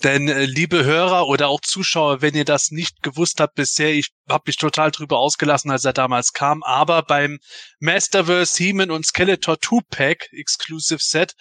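Bright, forceful man, fairly high. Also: steady tone, moderate pace, no room sound. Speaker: podcast panelist. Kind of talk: lively casual presenting to listeners.

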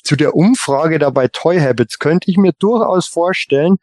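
A man chatting casually as a podcast panelist, giving his opinions in a halting way with repeated words. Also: fairly high pitch, animated, darkish tone, no room sound, clean studio sound. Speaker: podcast panelist on a toy collector show.

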